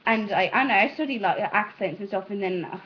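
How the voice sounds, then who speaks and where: A woman's voice: medium pitch, quick pace. A young American woman from the South speaks in an adopted British accent, chatting casually and hesitantly as she meanders and interrupts herself. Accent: British, put on by an American. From Southern United States. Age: young adult. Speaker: amateur vlogger. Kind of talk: casual rambling chat to camera. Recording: dull, lo-fi sound.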